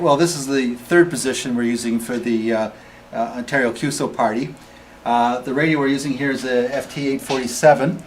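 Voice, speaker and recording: A man informally demonstrating equipment as a club radio operator, explaining in a halting way with restarts. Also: mid-range voice, darkish tone.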